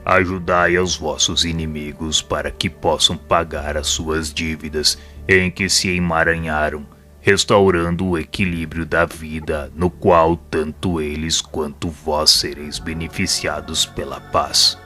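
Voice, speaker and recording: A very deep-voiced, wise male spirit mentor, played by a man, with a Brazilian accent and a forceful, bright edge. He speaks solemnly and patiently, persuading in measured phrases, with faint music underneath as in an audio drama. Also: flat delivery, heavily acted. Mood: solemn, persuasive.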